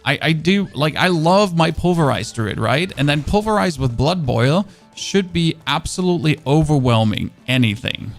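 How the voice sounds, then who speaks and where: Male streamer, German native, gravelly mid-range voice, rambling casual chat over faint background music. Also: fairly high pitch, expressive, moderate pace, few pauses. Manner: rambling, answers chat questions, frequent restarts. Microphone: studio dynamic microphone.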